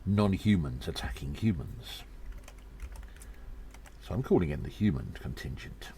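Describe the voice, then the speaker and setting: An earnest gnome adventurer acted by a man tells his tale in an audio drama. The voice is extremely deep and slow, with lively, theatrical swings and halting pauses. There is faint noise behind it. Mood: earnest, conspiratorial. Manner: theatrical, halting storyteller delivery.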